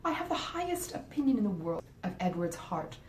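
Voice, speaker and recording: A woman's voice: very animated, brisk, a little mellow, lightly acted. Woman playing an effusive, lilting Regency mother. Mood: fond, reassuring. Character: warm, sentimental, effusive middle-aged Regency mother. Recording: roomy echo, faint rumbling noise.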